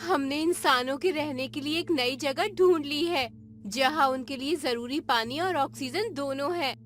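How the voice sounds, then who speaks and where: A woman's voice: high voice, measured pace, fairly bright tone, faint music behind. A woman playing a bold, determined woman scientist in a dramatized space adventure story. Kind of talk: dramatized Hindi audio story dialogue.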